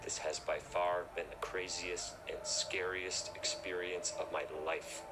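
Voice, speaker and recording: A man's voice: deep voice, little pitch movement, unhurried, loud music behind the voice. A man reading a scripted first-person horror story as narrator. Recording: noisy recording.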